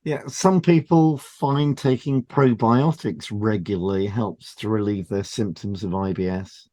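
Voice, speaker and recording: A man's voice: on the low side, a little slow, warm tone. A man co-hosting a health podcast, reading scripted advice in an explanatory, matter-of-fact way. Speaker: podcast co-host and hypnotherapist. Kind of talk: scripted educational narration.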